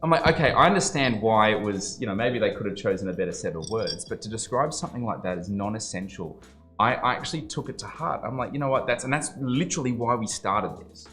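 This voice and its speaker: A man's voice: on the low side, lively intonation, fast, fairly bright tone, faint music behind. A man hosting a casual podcast interview, talking in a rambling, emphatic way with lots of fillers and swearing. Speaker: podcast host.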